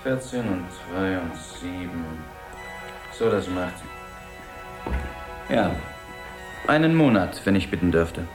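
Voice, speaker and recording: Radio drama merchant: lowish, courteous, matter-of-fact, quietly uncanny, roomy acoustic. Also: male voice, animated, takes it slowly, long pauses, over music. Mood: businesslike calm. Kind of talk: 1970s German-language radio drama acting.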